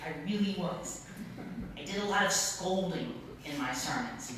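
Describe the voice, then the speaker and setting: A middle-aged woman gives a conversational sermon in a very reverberant room, picked up some way from the microphone. Her voice sits a little low, and she speaks informally, like a pastor telling stories, repeating words to make a point. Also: animated, moderate pace, fairly bright tone. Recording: very echoey room, distant mic, noisy.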